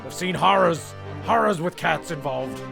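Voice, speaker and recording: A man playing a foul-mouthed, rambling, flustered narrator in a comic radio play, with a gravelly voice. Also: a little high, expressive, moderate pace, music in the background. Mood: dramatic, indignant.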